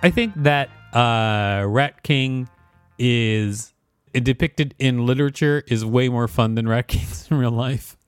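A man's voice: mid-range voice, slow, smooth, no room sound, faint music behind. A man co-hosting a podcast, reading an encyclopedia article aloud. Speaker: podcast co-host.

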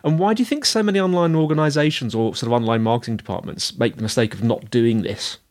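A man's voice: medium pitch, fast, darkish tone. A man hosting a podcast interview, asking friendly, rambling questions with many fillers. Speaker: podcast host.

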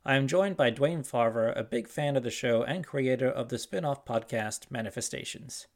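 A man's voice: medium pitch, moderate pace. A man hosting a podcast, reading a scripted introduction to bonus content. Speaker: podcast host and creator.

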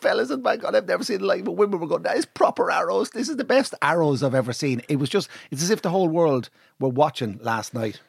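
An excitable Irish man co-hosting a chatty podcast. His voice is husky and swings widely in pitch, and the sound is close and dry. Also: quick pace, dry recording. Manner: excitable, swearing, jumps in over others. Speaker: podcast co-host. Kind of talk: casual chat.

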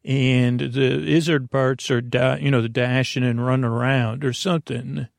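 Male sleep-podcast host, gravelly and dry-miked, drifting through halting, digressive bedtime rambles. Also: mid-range voice, level tone, no room sound. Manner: meandering, halting, digressive, self-interrupting. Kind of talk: rambling bedtime monologue to lull listeners to sleep.

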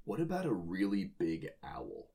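A man's voice: deep voice, wildly swooping pitch, moderate pace, vocal fry, mellow, husky. A man co-hosting a casual speculative discussion, explaining his ideas with enthusiasm as he reasons aloud. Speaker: podcast co-host.

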